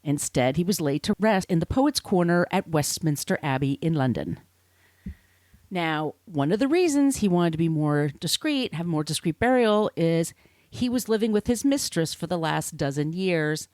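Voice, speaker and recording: A woman podcast host with a slightly low voice that swings widely in pitch. She tells researched stories conversationally, with an irreverent streak, recorded close and dry. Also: lively intonation, moderate pace, darkish tone, no room sound. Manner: irreverent, casually sweary. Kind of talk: conversational storytelling from researched notes.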